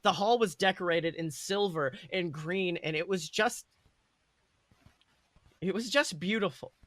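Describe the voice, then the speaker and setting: A man's voice: high voice, measured pace, fairly bright tone, no room sound. A man voicing a proud, earnest schoolboy wizard who tells his own story with emphatic delivery. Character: boy Slytherin first-year student, proud, indignant, sorry. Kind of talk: first-person dramatic story reading.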